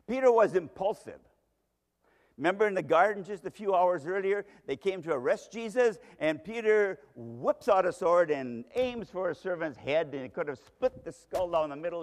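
A man preaching a sermon to a congregation, telling the story dramatically and with strong emphasis. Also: fairly high pitch. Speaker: pastor. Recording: long hall reverb.

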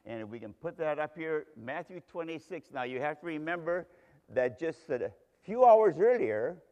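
A man's voice: on the high side, animated, normal speed, a little mellow, dull audio. A man preaching a sermon to a congregation, telling the story dramatically and with strong emphasis. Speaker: pastor. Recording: long hall reverb.

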